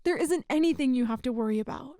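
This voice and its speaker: A woman playing a kind, earnest human rescuer in an ASMR roleplay, speaking in a gentle, reassuring, folksy way to the listener. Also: high voice, quick pace, no room sound, studio quality, close to an everyday voice. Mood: tender, reassuring.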